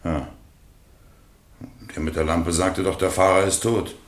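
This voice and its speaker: An actor playing an evasive working-class witness in a radio courtroom drama, offhand, terse and defensive. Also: male voice, low-pitched, steady tone, a little slow. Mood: matter-of-fact. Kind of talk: radio play acting. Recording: roomy courtroom echo.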